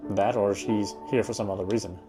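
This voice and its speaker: A man's voice: lowish voice, fairly even intonation, brisk, music in the background. A man reading a first-person game story aloud, now and then stumbling over a word and repeating it.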